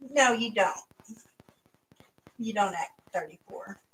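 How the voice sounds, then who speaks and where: A woman's voice: fairly high pitch, bright, forceful tone, recorded from a distance, low-quality recording. A woman in her fifties chatting casually with family as a mother, rambling and laughing often. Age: 50s. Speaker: mother and co-host.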